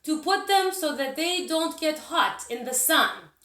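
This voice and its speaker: A woman's voice: sits high, expressive, a little slow, pushed and bright, echoey room, pitched above the performer's own voice, exaggerated voice. A woman voicing a stern, elderly Italian grandmother in a storybook, emphatic as she gives instructions. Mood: bossy.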